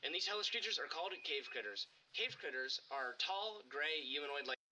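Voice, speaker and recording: An actor playing a stern, protective park ranger with an urgent, commanding manner in a found-footage horror drama. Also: male voice, a little high, big pitch swings, brisk, sharp tone, a little hiss or hum, heavily acted, rough recording. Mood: presenting.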